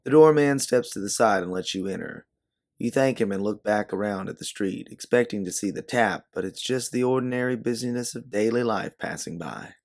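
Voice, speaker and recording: Male story narrator, low register, gravelly edge, scripted second-person prose. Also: a little fast, a little mellow. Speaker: narrator and author.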